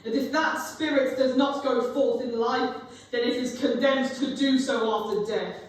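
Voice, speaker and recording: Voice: sits high, fairly even intonation, measured pace. A young performer acting a solemn, tormented male ghost in a portentous, declamatory stage reading. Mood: anguished, stern. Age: young adult. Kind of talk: dramatic stage reading of a Victorian classic. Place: large echoey room with live ambience. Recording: echoey hall from far off, background noise.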